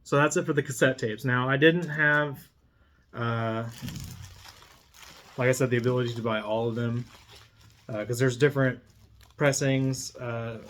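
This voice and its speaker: A man's voice: medium pitch, measured pace, long pauses. A man chatting casually and unscripted as he presents an unboxing video, in a rambling, conversational way. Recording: clear steady background hum.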